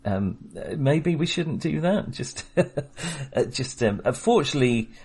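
A man's voice: a little high, lively intonation, slow pace, a little mellow, breathy edge. A man co-hosting a casual film podcast, talking with many fillers and small laughs. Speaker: podcast co-host.

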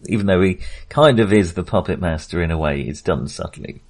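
A man with a slightly low-pitched voice discusses a film in a relaxed, conversational way. He is a podcast co-host who thinks aloud, hesitating and rephrasing as he goes. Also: fairly quick.

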